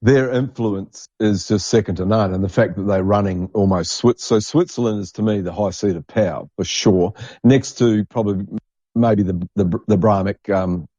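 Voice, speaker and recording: A man's voice: fairly low pitch, normal speed, dark tone, no room sound. A man hosting a podcast in casual chat, enthusiastic and repeating himself for emphasis, on a lo-fi recording. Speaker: podcast host. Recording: dull lo-fi, dry microphone sound.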